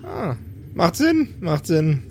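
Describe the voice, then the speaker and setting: A man's voice: a little high, sing-song, slow, voice pushed up, exaggerated voice. A flippant lady doctor, acted by a man with a raised, swooping voice. She is fussy and curious, blunt in a casual tabletop-game way, over noticeable background noise.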